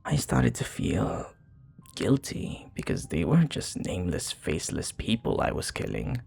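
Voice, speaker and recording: A man playing a remorseful, flirty vampire bartender in an intimate ASMR roleplay, soft-spoken and hesitant, with a breathy, husky voice. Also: deep voice, wildly swooping pitch, normal speed, exaggerated voice. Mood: somber, reflective. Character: remorseful, flirty male vampire bartender confessing his past.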